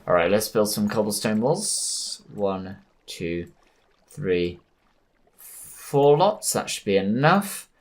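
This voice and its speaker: Slow-talking hoarse man. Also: on the low side, very animated. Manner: plans aloud, easygoing and punny. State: hoarse from a cold. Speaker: gameplay commentator. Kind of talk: let's play commentary.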